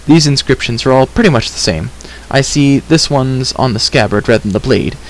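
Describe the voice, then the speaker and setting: A man's voice: medium pitch, a little fast, slight background noise, natural voice, rough recording. A man voicing a confident, breezy bard who bluffs like a know-all in a storybook reading. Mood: smug. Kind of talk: audiobook character reading.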